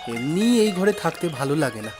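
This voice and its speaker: A man's voice: fairly high pitch, very animated, moderate pace, darkish tone, soft background music. A man voicing an honest, meek young youngest brother in a cartoon, hesitant and earnest. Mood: downcast, unsure.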